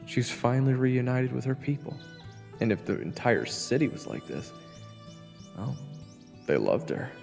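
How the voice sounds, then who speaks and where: A young hero, played by a man, narrates fantasy audio drama with wry, self-deprecating asides. His delivery is very animated with a creaky edge, and it rings with long reverb. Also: mid-range voice, a little slow, pauses often, soft background music. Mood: reflective.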